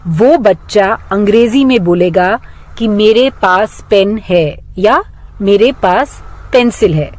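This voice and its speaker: Female English teacher for children, very slow and deliberate, instructional scripted delivery with spaced phrases for repetition. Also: medium pitch, animated, darkish tone. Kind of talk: scripted lesson with phrases to repeat.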